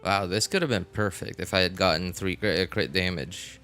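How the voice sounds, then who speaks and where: A man with a fairly low voice streams a game and comments casually as he plays. He thinks aloud in a halting way, often repeating words and starting phrases again. Game music plays faintly behind him. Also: sharp tone, smooth.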